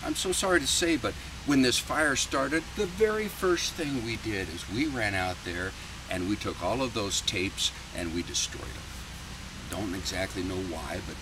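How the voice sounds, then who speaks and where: A man's voice: moderate pace, natural voice. A man voicing a smug, evasive storybook neighbor who fakes sympathy and boasts in a folksy way. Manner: self-satisfied, falsely sympathetic, folksy. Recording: noisy, distant mic with background rumble.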